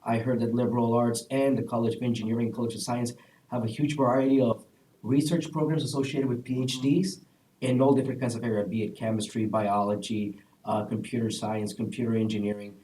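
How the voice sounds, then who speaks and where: A man's voice: medium pitch, steady tone, a little fast, dark tone. A young man from El Salvador who is a university student speaks on a panel, giving earnest recommendations with frequent "uh" fillers. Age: young adult. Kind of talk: casual panel answers. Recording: echoey room, distant mic.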